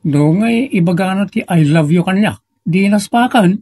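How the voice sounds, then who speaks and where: A man's voice: sits high, expressive, moderate pace, dark tone, dry recording, studio quality, heavily acted. An actor with a northern Philippine accent playing a suspicious, gossiping man in an Ilocano comedy drama. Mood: uneasy, confiding. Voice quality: husky, creaky. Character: suspicious, gossiping man uneasy about friend's father. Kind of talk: Ilocano comedy radio-style drama acting.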